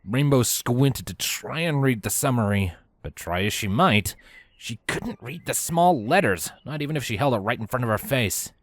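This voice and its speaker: A man narrating a story from a script in an expressive, animated way with theatrical emphasis. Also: medium pitch, normal speed, dry recording. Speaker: audiobook-style narrator of fan fiction.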